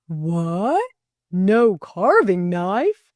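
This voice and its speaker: A woman plays an imperious storybook gentleman with big, swooping delivery. He is pompous and bantering, drawing out his words in a slow, theatrical way. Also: medium pitch, animated, dry recording, close to an everyday voice. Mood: indignant. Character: imperious, teasing, theatrical gentleman master of the house.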